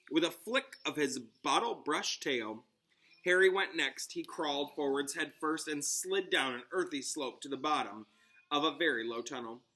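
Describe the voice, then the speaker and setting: A man's voice: a little high, sharp tone. A man reading a storybook aloud as a teacher, with dramatic narration aimed at children. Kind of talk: expressive storybook read-aloud with asides.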